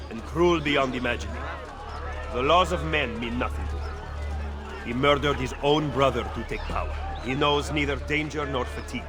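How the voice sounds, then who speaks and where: A man voicing a shrewd, skeptical male strategist in a video game cutscene, measured and dry. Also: mid-range voice, close to an everyday voice. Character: shrewd male political schemer, skeptical and cool.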